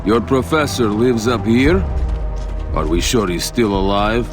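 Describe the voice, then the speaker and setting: An actor playing a bitter, defiant ex-enemy soldier with a dry, sardonic manner in a video game cutscene. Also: male voice, fairly low pitch, expressive, a little slow. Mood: grim. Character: bitter, defiant ex-enemy soldier turned private, scarred veteran. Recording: music behind the voice.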